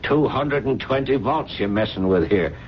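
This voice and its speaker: A man's voice: mid-range voice, expressive, normal speed, natural voice. An actor playing a streetwise working-class repairman in a radio drama, colloquial and jokey, explaining things with relish. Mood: jovial, cautioning. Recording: dull, noisy 1970s radio broadcast.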